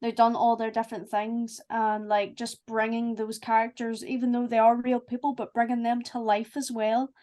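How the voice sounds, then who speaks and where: High-voiced, effusive woman chatting casually. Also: normal speed, few pauses. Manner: effusive, animated storytelling with acted-out asides. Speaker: voice actor and executive producer. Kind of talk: casual cast chat.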